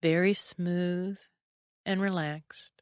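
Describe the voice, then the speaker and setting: Female guided imagery narrator: soothing, measured delivery, clean smooth tone, very unhurried, with long pauses. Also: on the low side, fairly even intonation, no echo, studio quality. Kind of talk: guided relaxation meditation, scripted narration.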